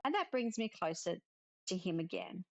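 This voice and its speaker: A woman reading the part of a gentle, caring young witch in a cozy visual novel. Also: on the low side, expressive, normal speed, vocal fry, muffled recording. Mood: worried. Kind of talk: visual novel character read aloud.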